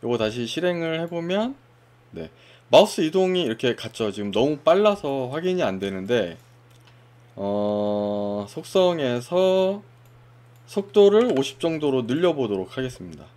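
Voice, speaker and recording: A man casually walking through a software tutorial step by step, pointing things out as he goes. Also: medium pitch, big pitch swings, a little slow. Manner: casual step-by-step explaining with fillers. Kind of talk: screen-recorded software walkthrough.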